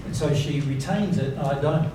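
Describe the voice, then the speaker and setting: A man's voice: fairly high pitch, moderate pace, dark tone. Man, informal spiritual teaching, emphatic repetition, rhetorical questions, heavy room echo, background noise. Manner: emphatic, repeats key words, checks for understanding. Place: reverberant seminar room with audience. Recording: very echoey, noisy room, distant mic.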